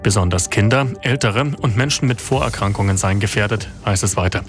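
A man's voice: on the low side, brisk, faint music behind. A man giving a factual news report as a radio correspondent.

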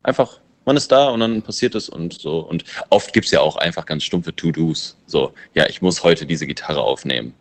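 A very low-pitched man whose first language is English talks about his music in a relaxed interview. He muses and thinks aloud, circling back on his points. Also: normal speed, few pauses. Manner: loose, musing, thinks aloud. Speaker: musician and producer. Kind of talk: casual interview answers.

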